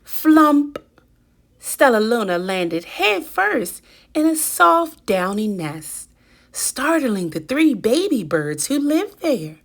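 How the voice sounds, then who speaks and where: A woman reading a children's picture book aloud with deliberate, dramatic emphasis. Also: a little high, big pitch swings. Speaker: children's story reader.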